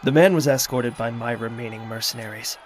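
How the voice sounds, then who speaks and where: A man playing a cold, vengeful lord in an audio drama, narrating in a measured, solemn manner. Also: medium pitch, close to an everyday voice. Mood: grave. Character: cold, vengeful lord commanding mercenaries, grieving his sister.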